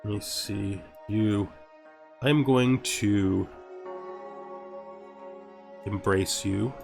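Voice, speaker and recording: A man's voice: fairly low pitch, extremely slow, vocal fry, a little mellow, soft background music. A man commentating casually on a video game he plays, thinking aloud and reading text from the screen. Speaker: gameplay streamer.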